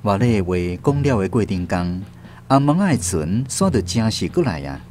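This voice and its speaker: A man's voice: on the low side, big pitch swings, moderate pace, a little mellow, slight background noise. A man with a Taiwanese accent narrating a radio drama in a dramatic storytelling style. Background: music.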